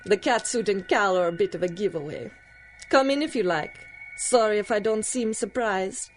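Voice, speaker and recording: Measured, enigmatic voodoo priestess, played by an actress. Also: female voice, medium pitch, faint music behind, natural voice, low-quality recording. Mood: knowing, amused. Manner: measured, formal, knowing. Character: ageless, enigmatic voodoo priestess, proud, worldly and teasing. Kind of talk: 1930s-style radio drama acting.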